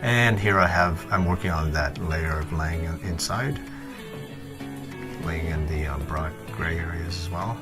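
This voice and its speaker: A man presenting an unscripted digital art tutorial voice-over, thinking aloud in a hesitant, halting way. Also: low voice. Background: guitar music.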